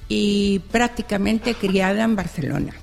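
A woman's voice: mid-range voice, steady tone, measured pace, vocal fry, darkish tone. An older woman from Extremadura sharing her story into a handheld microphone at a public event, repeating phrases in an earnest, chatty way. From Extremadura, Spain. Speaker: audience member, senior university student. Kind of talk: spontaneous testimony at a public event. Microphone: handheld microphone passed around. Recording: hall reverb with faint music.

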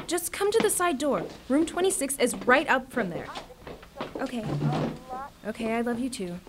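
A woman's voice: high voice, very animated, moderate pace. A young actress playing an exasperated, defiant teenage schoolgirl in a zombie radio drama, blunt and dramatic. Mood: anxious. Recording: clearly noisy background.

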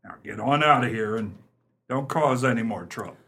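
A man's voice: medium pitch, normal speed, creaky, pushed and bright, slight background noise. A man playing a folksy, lenient police sergeant in a radio play, hemming and speaking ungrammatically. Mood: folksy, stern. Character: folksy, lenient small-town police sergeant dispensing fines.

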